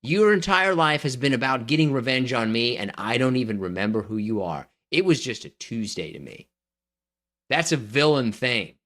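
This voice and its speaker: Male podcast co-host, middle pitch, skeptical and argumentative in easy chat. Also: animated, moderate pace, fairly bright tone. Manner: skeptical, argues his picks at length. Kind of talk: casual debate chat.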